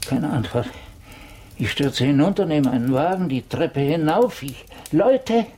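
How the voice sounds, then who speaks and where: An actor playing an agitated doctor in a German radio drama, speaking excitably in hurried, clipped phrases. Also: male voice, on the high side. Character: agitated doctor breathlessly reporting an alarming call. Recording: faintly noisy 1980s radio drama recording.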